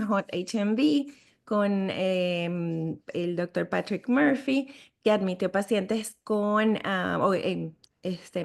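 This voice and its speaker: A middle-aged woman with a Venezuelan Spanish accent explains medical studies in a webinar. Her voice sits at an ordinary pitch and carries a gravelly fry. She talks conversationally, with frequent fillers and restarts. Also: darkish tone. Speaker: sleep medicine physician lecturing. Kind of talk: webinar lecture.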